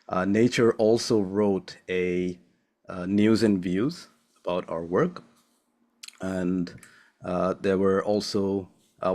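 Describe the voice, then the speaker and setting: A young man from Sri Lanka, a postdoc, giving a friendly, casual seminar talk over a remote call, with many filler pauses. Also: deep voice, darkish tone. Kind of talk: academic seminar presentation.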